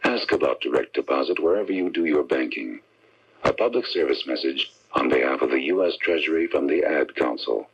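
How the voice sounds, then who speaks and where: A man reads a scripted radio announcement. His voice is extremely deep and husky, on a dull vintage broadcast. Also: lively intonation, brisk. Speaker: public service announcer. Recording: dull 1979 AM radio recording.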